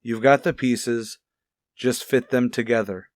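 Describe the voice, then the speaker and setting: An actor plays a male police captain who pushes the detectives hard. The voice sits at a middle pitch in a dry recording. He is firm, clipped and commanding, in radio crime drama style. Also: fairly even intonation, measured pace, no echo, close to an everyday voice. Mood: stern, urging. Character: gruffly demanding male police captain pushing his detectives.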